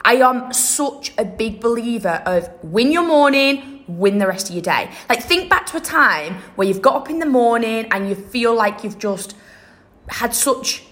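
A young woman hosts her own podcast in a relaxed, chatty way, full of upbeat energy and little asides. Her voice sounds slightly croaky today. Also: a little high, measured pace. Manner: chatty, upbeat, many fillers and laughs. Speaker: podcast host. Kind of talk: casual solo podcast chat. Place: room with air conditioner running behind.

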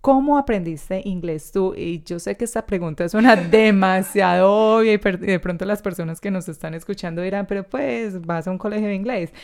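A woman's voice: mid-range voice, fairly quick, few pauses, darkish tone. A young adult woman, hosting a casual podcast interview in her apartment, chatty and affirming. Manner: chatty, affirming, full of backchannel. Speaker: podcast host.

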